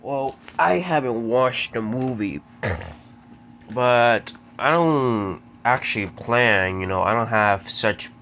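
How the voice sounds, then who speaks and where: A young man from the American Deep South with a very deep voice, stuttering and halting through a casual solo Q&A. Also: animated, fairly bright tone, a little hiss or hum. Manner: stuttering, halting with repeated words. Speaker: video creator answering viewer questions.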